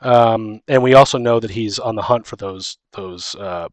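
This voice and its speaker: A man's voice: on the low side, moderate pace, dry recording. A man co-hosting a podcast in casual chat about tabletop gaming, often backtracking mid-sentence. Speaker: podcast co-host and game master.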